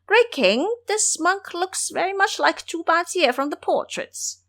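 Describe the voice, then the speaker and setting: A woman's voice: very high-pitched, expressive, a little slow, fairly bright tone, pitched above the performer's own voice, heavily acted. A woman voicing a small monster imp in an audio drama, eagerly reporting to its king.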